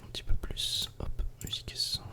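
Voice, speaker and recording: A man whispers casual ASMR game commentary in a breathy, unvoiced voice, speaking directly to the viewer. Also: fairly quick. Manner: casual, soft-spoken, addressing the viewer directly. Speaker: ASMR gaming video creator.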